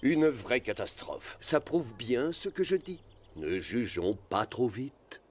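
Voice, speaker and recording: A man voicing a wise, fair-minded animal in a children's cartoon, speaking in a measured, moralizing way. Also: medium pitch, very animated. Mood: gently admonishing. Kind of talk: animated children's series dub.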